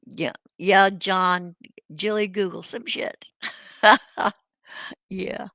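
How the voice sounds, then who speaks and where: A woman's voice: mid-range voice, fairly even intonation, very slow, vocal fry, fairly bright tone, no echo. A woman hosting a casual livestream chat, rambling, laughing and swearing freely while she loses her voice. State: losing her voice. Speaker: host.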